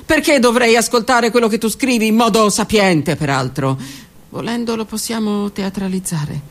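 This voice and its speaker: A middle-aged woman, a stage actress, performing a dramatic monologue that swings from hushed whispers to raised declaiming. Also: a little high, moderate pace. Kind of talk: theatrical monologue. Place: radio studio.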